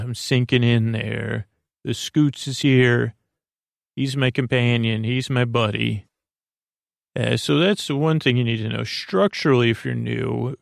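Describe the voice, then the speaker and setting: A man with a gravelly, creaky voice at a middling pitch rambles through a bedtime monologue as a podcast host. He halts, wanders and breaks off his own sentences to start over. The recording is very dry, with no room sound around the voice. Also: no room sound. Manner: halting, meandering, self-interrupting.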